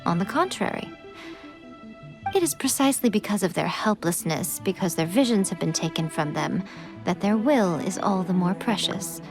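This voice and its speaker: A woman playing an elegant, shrewd fox spirit in a video game, teasing and coy, with measured, formal phrasing. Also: medium pitch, animated, lightly acted. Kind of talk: scripted video game voice acting. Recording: background music under the voice. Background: game soundtrack music.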